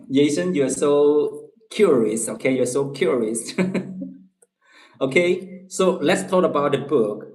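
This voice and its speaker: A man with a Chinese accent chats slowly and unhurriedly with his online viewers. He repeats his phrases and keeps checking in with "okay?" as he goes. His voice sits in a middle pitch and rings in a roomy, echoing space. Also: a little mellow. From China. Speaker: livestream host. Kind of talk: casual livestream chat with viewers.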